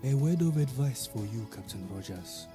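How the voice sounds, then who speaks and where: A man's voice: medium pitch, animated, moderate pace, close to an everyday voice. An actor playing a dignified, wise warrior king, speaking solemnly and formally in an audio drama. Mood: solemn, kindly. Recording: dramatic music bed behind.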